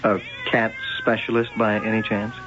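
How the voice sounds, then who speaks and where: An actor playing a direct, matter-of-fact police lieutenant in a 1980 radio drama. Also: male voice, on the low side, completely flat delivery, moderate pace, natural voice. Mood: politely probing. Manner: businesslike, direct. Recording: dull noisy old radio broadcast.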